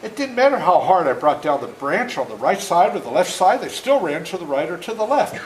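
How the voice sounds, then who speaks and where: A man in his seventies reading a story aloud to children in a folksy, interactive, animated way, as an author before a young audience. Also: high voice, fairly quick. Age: 70s. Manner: folksy, interactive, acts out moments. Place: indoor room before a school audience. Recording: roomy echo, faint background noise.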